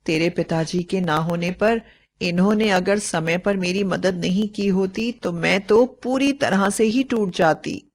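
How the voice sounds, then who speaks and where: A woman's voice: medium pitch, unhurried, a little mellow. A woman storyteller playing a middle-aged widowed mother who confides in an intimate, plaintive way. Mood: confiding, grateful.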